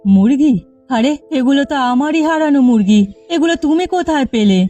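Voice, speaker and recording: A woman's voice: high voice, lively intonation, moderate pace, dark tone. A woman voicing a bossy, determined village housewife in a cartoon, with music underneath. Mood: indignant. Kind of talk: Bengali cartoon voice acting.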